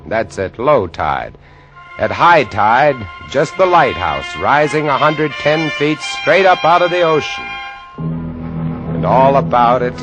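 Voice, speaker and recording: Brooding lighthouse keeper storyteller, music behind. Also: male voice, medium pitch, poor audio. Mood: ominous, vivid. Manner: dramatic, savoring, measured emphasis. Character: male lighthouse keeper recounting a horror, brooding, vivid. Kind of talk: 1950s radio drama first-person narration. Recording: vintage 1950 radio broadcast.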